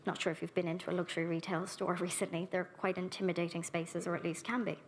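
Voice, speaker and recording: Woman, keynote speaker, lower voice, earnest and direct, faint background hiss. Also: flat delivery. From Ireland. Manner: earnest, warmly direct, brisk with lists. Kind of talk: keynote talk and audience Q&A. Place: conference hall. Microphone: handheld microphone.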